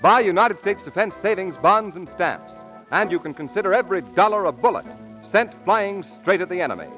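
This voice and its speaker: A muffled old broadcast with faint music carries a male announcer. His fairly high voice rises and falls emphatically in formal 1940s radio fashion. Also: lively intonation, fairly quick, pushed and bright. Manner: emphatic, formal sales delivery.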